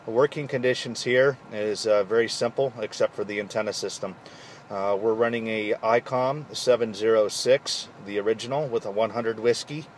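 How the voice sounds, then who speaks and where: A man operating a mobile ham radio from his vehicle, reading call signs clearly and talking through his gear in a friendly way. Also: fairly low pitch, steady tone, moderate pace, slight background noise. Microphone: handheld radio microphone.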